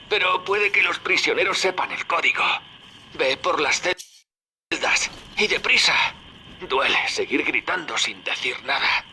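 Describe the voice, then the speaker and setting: A man's voice: a little high, sharp tone, a little hiss or hum, heavily acted. An actor playing a tormented, apologetic man enslaved by mind control in a video game, his voice strained. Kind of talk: dramatic video game acting.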